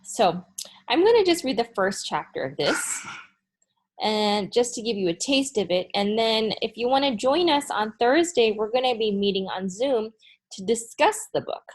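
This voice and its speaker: A woman teacher speaking to children in an expressive read-aloud style, addressing them directly. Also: a little high, moderate pace. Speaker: teacher reading aloud to students.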